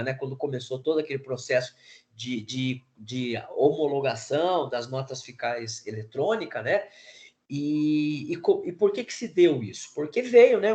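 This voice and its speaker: Man, brazilian accent, hoarse from a cold, laid-back online teacher, lots of fillers. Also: medium pitch. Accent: Brazilian Portuguese. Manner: casual, frequent filler words and restarts. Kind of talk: online class lecture.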